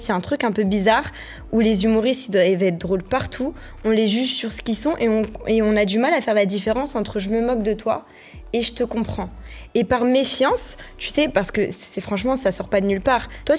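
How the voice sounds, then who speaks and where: A woman's voice: mid-range voice, darkish tone. A young woman hosting a podcast, asking her guest questions in a conversational way and sometimes stopping to search for words. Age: young adult. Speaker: podcast host. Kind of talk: interview questions.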